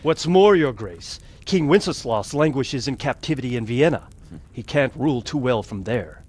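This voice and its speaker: A man acts a grave medieval counselor in a game. His husky, mid-pitched voice is solemn and deferential. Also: expressive, normal speed, darkish tone, natural voice. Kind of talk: dramatic game cutscene acting.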